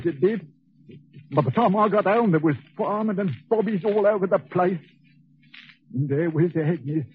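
An actor playing a coarse, sickly Cockney convict in a 1940s radio drama, husky and slangy, on an old muffled recording. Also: male voice, fairly high pitch, slight background noise, close to an everyday voice. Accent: Cockney English. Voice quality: husky, rough. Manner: slangy, chatty, needling. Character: coarse, hot-tempered, sickly working-class male convict.

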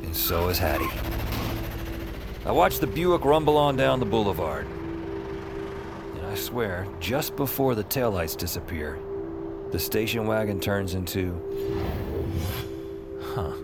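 An actor playing a wry, beleaguered emergency call operator who narrates his own story in a deadpan way in an audio drama. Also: fairly low pitch, a little slow, pauses often, heavy background noise. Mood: wry narration. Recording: music behind the voice. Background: music.

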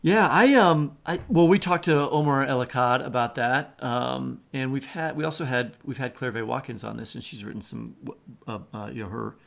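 A man co-hosting a podcast in casual chat, halting as he thinks aloud. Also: mid-range voice, vocal fry, fairly bright tone. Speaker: podcast co-host.

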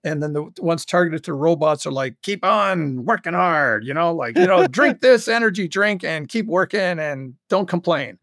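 A man's voice: fairly high pitch, moderate pace, hardly any pauses, dry recording, clean studio sound. A man chatting casually as an interview guest, telling stories about his creative work with enthusiasm and emphasis. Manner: enthusiastic, animated storytelling with frequent emphasis. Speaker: guest, audio fiction creator and commercial voice director.

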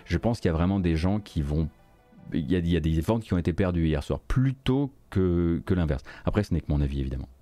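Deep, bright-voiced man, emphatic. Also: animated, quick pace, faint music behind. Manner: emphatic, repeats words for stress. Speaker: host of a video game news stream. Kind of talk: live news recap with opinion commentary.

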